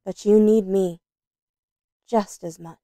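A woman's voice: medium pitch, steady tone, slow pace, dark tone, no room sound, studio quality, close to an everyday voice. A woman playing an earnest, wounded woman reading her heartfelt letter in a comic book dub, sincere and pleading. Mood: earnest, tender.

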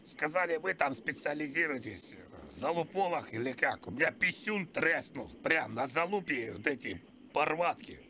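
A crude old pensioner acted out by a man whines and rambles insistently on a prank call. The strained voice is high and very sharp, with faint noise behind it. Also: expressive, moderate pace. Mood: whiny, confused. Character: crude, whiny, pestering old male pensioner. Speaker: prank caller. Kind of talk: prank phone call improvisation.

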